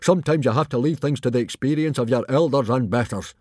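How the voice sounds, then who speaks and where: A man's voice: medium pitch, fast, a little mellow, breathy edge, no room sound, studio quality, voice pushed up, lightly acted. A man voicing a blustering, pompous Viking chief and father who is very sure of himself. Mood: confident, dismissive. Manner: pompous, overconfident.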